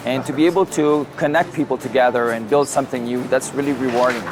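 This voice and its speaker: A man from Chile, a senior trade commissioner, speaking in an earnest, measured interview tone. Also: medium pitch, steady tone, poor audio. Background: background music.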